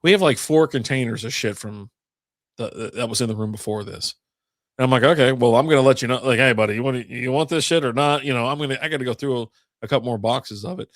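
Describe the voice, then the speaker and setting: A man co-hosting a podcast in casual chat, easygoing and quick to chuckle, with casual swearing. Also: mid-range voice, dry recording, clean studio sound. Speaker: podcast co-host.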